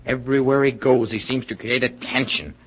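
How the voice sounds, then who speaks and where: A man's voice: medium pitch, steady tone, brisk, husky, lightly acted. An actor playing an earnest, suspicious suitor in a 1940s radio thriller, urgent and insistent in his delivery. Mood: grim, warning. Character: suspicious, jealous, earnest male suitor warning of danger. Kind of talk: 1940s radio suspense acting. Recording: muffled 1940s radio recording.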